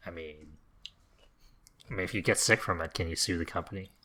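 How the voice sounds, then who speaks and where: A man's voice: low-pitched, fairly quick, bright, forceful tone. A man talking casually as a co-host on a podcast. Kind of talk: casual chat.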